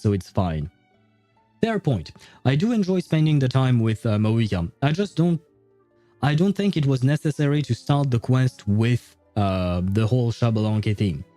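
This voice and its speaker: A man's voice: medium pitch, dark tone, no room sound. A man hosting a livestream, chatting casually with viewers in a rambling, playfully teasing way. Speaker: livestream host. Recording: dry voice over faint background music.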